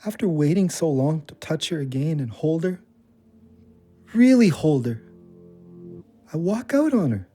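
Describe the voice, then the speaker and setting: A man's voice: fairly high pitch, big pitch swings, unhurried, pauses often, dark tone, soft background music. An actor playing an anguished, self-loathing troubled man in an audio drama, brooding and emotionally volatile. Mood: anguished confession.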